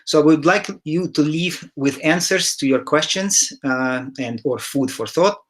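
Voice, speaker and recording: Halting headset-mic man hosting a session. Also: a little high. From Ukraine. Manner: halting, many filler sounds, occasional throat clearing. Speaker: interview host. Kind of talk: moderating an online session. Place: home room, indoors. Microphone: headset mic on a video call.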